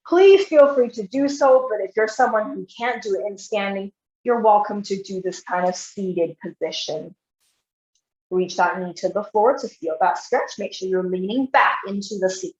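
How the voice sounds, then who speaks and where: A woman physiotherapist leading an online exercise class, guiding step by step in an encouraging way, her voice a bit croaky. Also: medium pitch, moderate pace, reverberant, dull audio. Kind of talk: live online exercise instruction.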